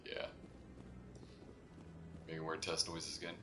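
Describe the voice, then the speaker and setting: A man chatting casually on a live game stream, thinking out loud and greeting viewers. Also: rumbling low, monotone, unhurried, noisy background. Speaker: game streamer and voice actor with an ASMR roleplay channel. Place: indoors, live gaming stream. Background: game music.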